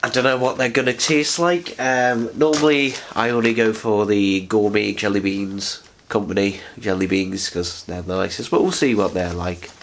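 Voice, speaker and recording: A man's voice: lowish voice, fairly even intonation, moderate pace. A young man with a head cold, his voice stuffy and nasal as he rambles through a late-night unboxing. He sits close to the camera in a living room, chatty and self-mocking, and a faint hiss sits under the voice. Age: young adult. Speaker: vlogger. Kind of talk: casual unboxing chat. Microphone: camera on a tripod, sitting close.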